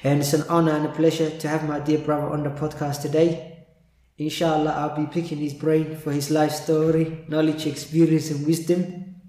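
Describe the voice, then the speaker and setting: A man's voice: fairly high pitch, flat delivery, normal speed, mellow. A man hosting a podcast interview, courteous and devout, sprinkling his speech with Arabic religious phrases. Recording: roomy, echoing room sound.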